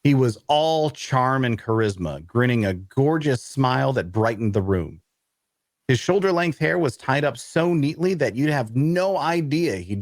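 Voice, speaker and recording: A man's voice: mid-range voice, clean studio sound. Casting: male actor as observant fantasy narrator, descriptive and conversational, mid-range male voice, no room echo. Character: observant, wry male storyteller in a fantasy tavern. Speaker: first-person narrator. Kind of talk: scripted fantasy audio drama narration, table read.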